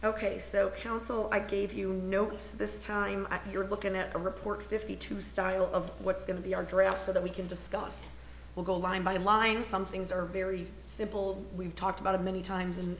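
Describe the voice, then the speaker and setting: A woman with a scratchy, hoarse voice talks a council through the budget line by line, casual and chatty, thinking aloud with restarts. Her voice carries in a roomy, echoing meeting hall with a faint hum behind. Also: mid-range voice. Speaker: municipal finance officer presenting the budget.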